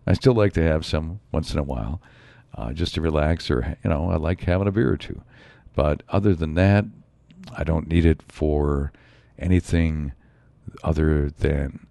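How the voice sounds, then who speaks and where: A man hosting his own show in a rambling solo monologue, his voice a bit raspy from a slight cold. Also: very deep voice, moderate pace, mellow, no room sound. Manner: rambling, musing, frequent asides. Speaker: show host.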